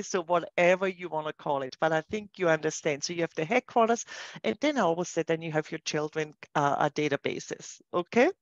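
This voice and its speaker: A woman giving an informal software demonstration as a trainer, explaining in a conversational way. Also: fairly low pitch, dry recording. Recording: dull, dry, lo-fi voice track.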